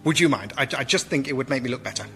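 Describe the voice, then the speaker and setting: An actor playing a naive, jealous Moon in radio sketch comedy, earnest and wheedling. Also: male voice, mid-range voice, rapid-fire, fairly bright tone, natural voice. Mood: earnest, aggrieved. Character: needy, jealous, naive Moon with wounded pride.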